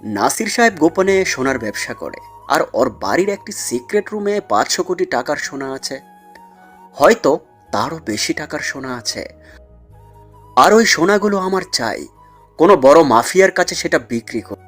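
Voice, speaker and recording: Man acting a cunning smug mafia mastermind, animated delivery. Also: on the high side, moderate pace, soft background music. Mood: determined. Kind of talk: dramatised audio story acting.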